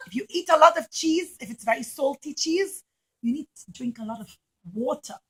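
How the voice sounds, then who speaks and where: A woman's voice: high voice, animated, normal speed, rough recording. A woman storyteller putting on a puppet show for young children, dramatic and speaking in simple words directly to them.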